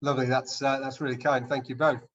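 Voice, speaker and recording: A man moderating an online webinar, speaking in a measured, polite way with small restarts. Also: mid-range voice, level tone, fairly bright tone. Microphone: video call. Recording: dull lo-fi call audio.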